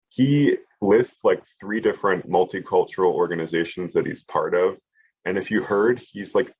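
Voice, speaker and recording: Guy running a college prep zoom workshop, deep raspy voice, kinda muffled dry audio. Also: darkish tone, no echo. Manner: casual, lots of fillers and restarts. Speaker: college admissions presenter. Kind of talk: online workshop presentation.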